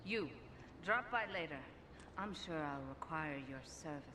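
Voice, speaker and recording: A cold, sharp-voiced crime boss. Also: female voice, expressive, unhurried, exaggerated voice. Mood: cold, menacing. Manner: menacing, commanding, contemptuous. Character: cold, vengeful, sadistic female Yakuza boss. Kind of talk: video game cutscene acting. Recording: echoey hall reverb, noisy rumbling background.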